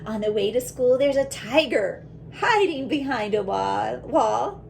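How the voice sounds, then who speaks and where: A woman voicing a brave, imaginative little schoolgirl in a picture book, with dramatic, emphatic, drawn-out delivery. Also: fairly high pitch, animated, vocal fry, a little mellow, a little hiss or hum. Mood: bold, excited.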